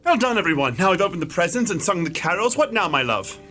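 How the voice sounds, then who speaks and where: A man playing a cheerful, kind-hearted young gentleman with a merry, earnest delivery in a radio drama. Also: high-pitched, big pitch swings, fast talker, soft background music, exaggerated voice.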